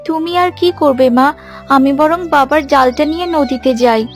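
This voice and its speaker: High-pitched earnest little village girl played by a woman. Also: measured pace, soft background music. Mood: determined. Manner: earnest, resolute. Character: brave, earnest young daughter of poor fisherman. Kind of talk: cartoon dialogue.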